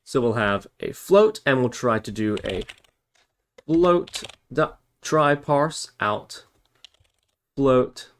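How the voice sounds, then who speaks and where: A man's voice: medium pitch, expressive, takes it slowly. A man giving a casual step-by-step programming tutorial, thinking aloud and correcting himself as he types. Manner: thinks aloud while typing, self-correcting. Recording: noisy, with keyboard typing and clicks. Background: computer keyboard typing, clicking, background noise.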